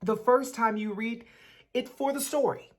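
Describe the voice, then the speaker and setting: A woman's voice: on the high side, a little slow. A woman playing a brainy, awkward schoolboy in a dramatic reading, speaking formally and pedantically. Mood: formal, matter-of-fact. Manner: pedantic, precise, formal wording.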